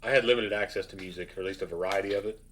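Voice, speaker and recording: A man's voice: fairly low pitch, very fast, fairly bright tone. A man, chatting casually as co-host of a reaction show. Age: 30s. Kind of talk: casual chat.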